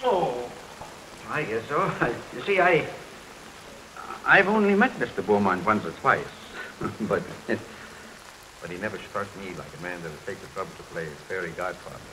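An old missionary doctor from an early talkie, kindly but doubtful. He halts and rambles in a bright, lively voice. The old soundtrack hisses, with rain behind it. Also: lowish voice, moderate pace, long pauses, low-quality recording. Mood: wary concern. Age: older. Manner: rambling, hesitant, punctuated with stammers. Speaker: film actor. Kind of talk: early 1930s film acting. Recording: hissy 1932 film soundtrack, rain behind.

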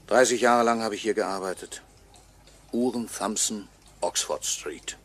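A slow, low-voiced murdered clock repairer. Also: male voice, breathy edge. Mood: brooding, bitter. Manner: deliberate, measured, sardonic. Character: murdered male clock repairer, wry, resigned, haunting narrator. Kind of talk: 1950s radio play monologue. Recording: faintly noisy 1958 radio recording.

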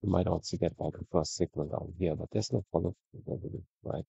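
Gravelly, deep-voiced man reviewing trading charts. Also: brisk, dry recording, lo-fi sound. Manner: relaxed, conversational, frequent "right?" tags. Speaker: trading educator. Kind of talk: screen-share trading review.

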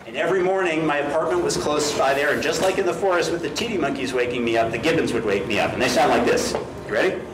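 A middle-aged man giving an excited science talk to schoolchildren, slipping in Spanish phrases and taking their questions. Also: a little high, fast talker, few pauses. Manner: enthusiastic, mixes English with Spanish phrases; walks and gestures in front of the audience. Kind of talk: classroom talk with Q and A for children. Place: large school cafeteria with many children. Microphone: wireless headset or lapel mic. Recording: roomy hall, from a distance, children noisy behind.

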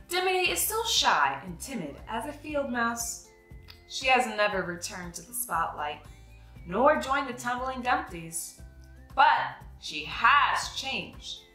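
Animated young woman storyteller, bright voice. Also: fairly high pitch, roomy sound. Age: young adult. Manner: animated, dramatic phrasing. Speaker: children's read-aloud host. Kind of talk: expressive picture-book storytelling.

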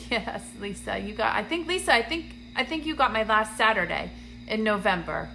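A woman, a medium hosting a phone live stream from a dim room, chatty and full of ums, sounding congested and a little raspy. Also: medium pitch, expressive, normal speed, sharp tone, soft background music. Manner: chatty, greets viewers by name, many ums. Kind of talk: casual livestream chat to viewers. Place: dim indoor room, seated on a couch. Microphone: phone camera at arm's length.

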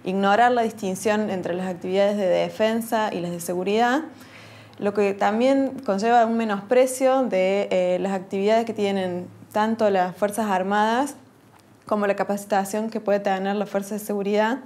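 Young woman, interview, measured, pauses with fillers. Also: medium pitch, fairly even intonation, a little mellow. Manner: measured, hesitant with frequent fillers. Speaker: philosophy researcher, interviewee. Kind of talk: explanatory interview answers. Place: indoor room, seated interview.

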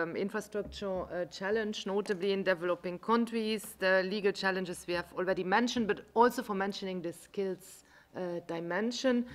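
A woman moderating a conference panel, speaking in a measured, formal way in a large meeting room. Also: mid-range voice.

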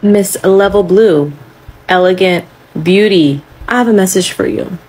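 A woman's voice: medium pitch, measured pace, a little mellow, breathy edge, poor audio. A young woman giving a blunt, scolding, sweary response to camera as a social media creator. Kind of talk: direct-to-camera video response.

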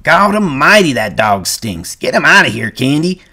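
Man putting on a loud, coarse ranch hand, blunt, raised pitch. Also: sing-song, moderate pace, heavily acted. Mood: gruff.

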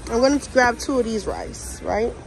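A woman's voice: fairly high pitch, huge pitch swings, normal speed, vocal fry. A young American woman chatting informally and directly to viewers, as in a casual shopping vlog. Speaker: vlogger. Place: inside a large warehouse store. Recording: faint store ambience noise.

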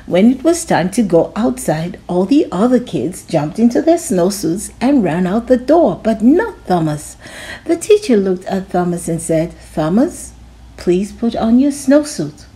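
A woman hosting a storytime channel, reading a children's picture book aloud slowly with lots of giggles and a bouncy delivery. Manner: slow, lively, giggly reading with big pitch swings.

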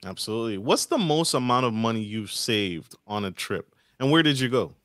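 Bright-voiced energetic male podcast host. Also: medium pitch, a little fast, dry recording, studio quality. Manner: energetic, stumbling repetitions. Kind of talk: podcast interview. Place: home studio with green screen. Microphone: studio mic with headphones.

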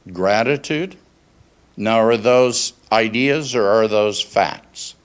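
Slow, low-voiced older male pastor. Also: clear, resonant tone. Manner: deliberate, pausing phrases. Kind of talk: conversational sermon with questions to congregation. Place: small church meeting room, indoors. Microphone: handheld microphone held at chest.

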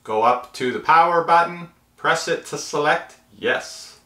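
A man's voice: on the high side, measured pace, pushed and bright. A man in his fifties hosting a tech tutorial, explaining each step methodically in a small room. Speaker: host of a tech tutorial channel. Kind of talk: step-by-step how-to instruction. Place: small indoor room, seated at a table. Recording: roomy indoor echo.

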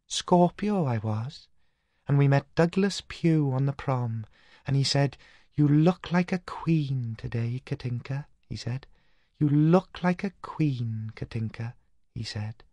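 A man's voice: mid-range voice, animated, takes it slowly, warm tone, no echo, dull audio, voice pushed up. A man voicing the ghost of a young Welsh woman, proud, wistful and dreamy, in a storybook reading. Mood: dreamily proud.

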